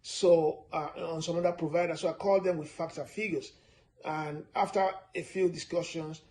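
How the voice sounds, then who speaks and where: A man from Nigeria giving a steady, repetitive finance lesson, stressing his points with frequent "uh" fillers. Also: a little high, normal speed. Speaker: personal finance presenter. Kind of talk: instructional talk to camera.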